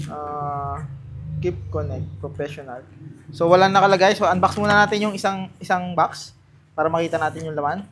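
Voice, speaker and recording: A man with a Filipino accent giving casual, unscripted unboxing commentary, halting and thinking aloud as he handles things. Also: a little high. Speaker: vlogger presenting product unboxing.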